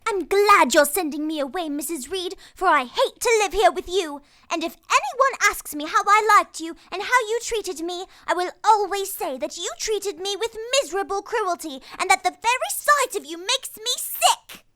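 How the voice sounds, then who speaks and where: Very high-pitched defiant little girl. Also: expressive, moderate pace, hardly any pauses, sharp tone, exaggerated voice. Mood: bitterly defiant. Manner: blunt, plain-spoken, defiant short answers. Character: defiant, blunt ten-year-old orphan girl. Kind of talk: radio play acting.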